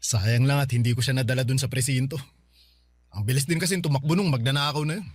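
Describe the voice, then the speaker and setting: A man's voice: mid-range voice, fast talker, dry recording, studio quality, lightly acted. An actor playing a friendly, modest and brave male neighbour in radio drama dialogue, with an easygoing, chuckling way of talking.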